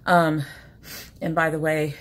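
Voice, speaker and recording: A woman's voice: low voice, flat delivery, slow pace. A woman in her forties chatting casually through an unboxing, rambling and catching herself mid-sentence, a bit sniffly from allergies, recorded on a webcam in a small room at home. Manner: chatty, rambling, self-interrupting. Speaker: beauty vlogger. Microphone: webcam at desk.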